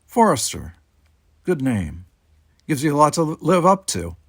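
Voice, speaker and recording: A blunt, wry starship captain played by a male reader gives orders in a raised voice. It is a commanding audiobook character with a gravelly edge, captured close and dry. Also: on the high side, big pitch swings, measured pace, no echo, voice pushed up, full character voice.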